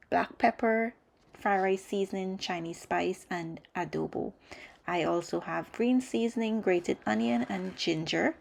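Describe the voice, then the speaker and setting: A woman's voice: lowish voice, gravelly, a little mellow. A woman hosting a cooking video, explaining each step in an orderly, instructional voice-over. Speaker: cooking channel host.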